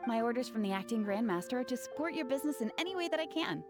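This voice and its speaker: A woman's voice: fairly high pitch, expressive, rapid-fire, over music, close to an everyday voice. A woman playing an earnest, polite young knight in a video game, eager and a little dreamy. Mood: helpful. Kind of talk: animated game character acting.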